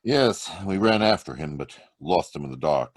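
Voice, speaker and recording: A man acting a calm, resolute amateur sleuth in an old-time radio mystery. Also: very deep voice, normal speed, a little hiss or hum. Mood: tense, grim. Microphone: video-call microphone.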